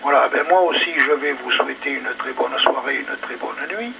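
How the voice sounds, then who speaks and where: A man's voice: mid-range voice, normal speed, gravelly, a loud crowd. A polite, slightly hesitant man, talking casually as a radio amateur over two-way radio. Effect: two-way radio transmission. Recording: muffled, noisy ham radio relay.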